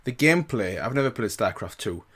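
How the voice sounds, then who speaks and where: A man's voice: lowish voice, expressive, brisk, noisy background. A man giving casual reaction commentary as host, thinking aloud in a hesitant way.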